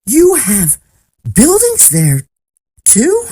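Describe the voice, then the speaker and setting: A woman's voice: high voice, takes it slowly, a bit bright, voice pushed up. A woman voicing a big, kindhearted male elephant in a storybook, earnest and pleading, anxious and loyal, often repeating himself. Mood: amazed.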